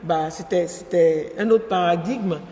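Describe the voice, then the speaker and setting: A middle-aged woman from Côte d'Ivoire, president of an association, telling her story as a guest in an event interview. She speaks reflectively, pausing and searching for her words. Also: lowish voice, warm tone. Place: large event hall. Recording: echoey hall.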